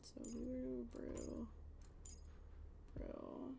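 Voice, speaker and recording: A woman chatting casually on a game livestream, halting and repeating herself as she thinks aloud. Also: on the high side, huge pitch swings, vocal fry, warm tone, slight background noise. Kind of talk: casual gaming stream chat. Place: indoors, streaming with game audio behind.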